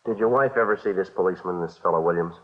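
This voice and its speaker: Brisk, low-voiced American detective. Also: male voice. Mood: probing, flat. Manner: clipped, deadpan, matter-of-fact. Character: stoic, businesslike police detective sergeant, narrating his case. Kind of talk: 1950s radio police drama, terse narration and questioning. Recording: tinny vintage radio recording, music behind.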